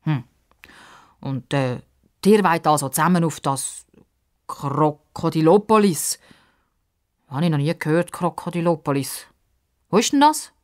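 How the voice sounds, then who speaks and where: A woman's voice: sits low, expressive, unhurried, pauses often, darkish tone. A woman voicing a grumpy, blustering old man in a children's story. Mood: mollified, puzzled. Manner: blustering, scolding, muttering. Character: grumpy, easily startled old man, cursing and grumbling.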